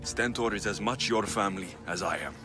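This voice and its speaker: A man plays a tough mercenary warrior of ancient Greece in a video game cutscene. The voice is on the low side and moves freely in pitch, blunt and self-assured with a dry edge. Music runs underneath. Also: animated, fairly quick, natural voice. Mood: guarded, blunt.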